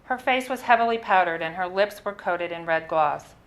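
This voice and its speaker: A woman with a slightly low voice reads a personal narrative aloud as its writer. Her delivery is measured and expressive, shaped for a live literary reading, with an occasional stumble over a word. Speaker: writer reading own story.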